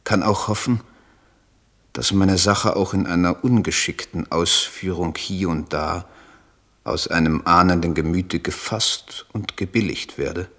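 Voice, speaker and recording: Troubled idealist poet: low husky voice, solemn elevated delivery for radio drama. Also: male voice, a little slow, a bit bright, full character voice. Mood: solemn resolve. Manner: solemn, declamatory, elevated diction. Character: idealistic, troubled male German poet in letters. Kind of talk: literary radio drama monologue.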